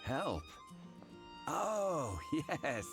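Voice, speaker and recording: Wry bartender over loud music. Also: male voice, on the high side, sing-song, extremely slow, full character voice. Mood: amused, businesslike. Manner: wry, blunt. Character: wry, worldly tavern bartender, a grown man. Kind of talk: video game voice acting. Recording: music behind the voice.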